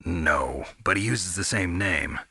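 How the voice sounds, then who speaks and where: A low, husky male voice with a hard, forceful edge. He is a gruff veteran soldier in a video game, terse and serious, recorded dry and close. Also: slow, no echo, full character voice. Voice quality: gravelly, husky. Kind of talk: video game radio dialogue.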